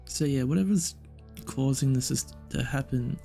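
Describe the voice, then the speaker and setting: Guy with creaky voice doing laid back game commentary, kinda confused, repeats himself, game music behind. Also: mid-range voice, moderate pace, dark tone. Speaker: gaming video narrator. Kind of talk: casual rambling gameplay commentary.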